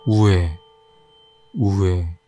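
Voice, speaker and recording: Eerie murmuring ghost heard from afar, low, soft and hollow, put on by a male narrator. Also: fairly even intonation, drawn-out words, reverberant, faint music behind. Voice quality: distant, murmuring. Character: unseen ghostly voice murmuring from above.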